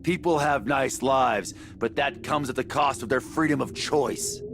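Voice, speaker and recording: A man playing a gruff, cynical warrior in a video game, blunt and slangy, with a gravelly voice. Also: mid-range voice, measured pace, fairly bright tone, slight background noise. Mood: jaded, blunt. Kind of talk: English video game voice acting. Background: music.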